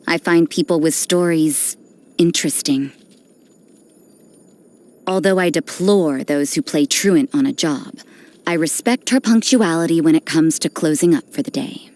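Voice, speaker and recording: An actress voicing an aloof, cynical young nun in a video game, speaking in a cool, dry, detached and unhurried manner. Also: female voice, sits low, darkish tone. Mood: wry, knowing. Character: aloof, cynical, worldly nun who does dirty work. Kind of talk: video game character voice lines. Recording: background music under the voice.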